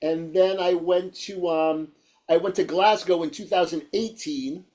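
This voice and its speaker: A man's voice: a little high, steady tone, measured pace, a little mellow, rough recording. A man with a nasal New York accent chatting casually as a guitarist in an interview, stammering and repeating himself as he rambles. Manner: rambling, stammering, repeats words and says "you know" often. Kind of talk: casual chat.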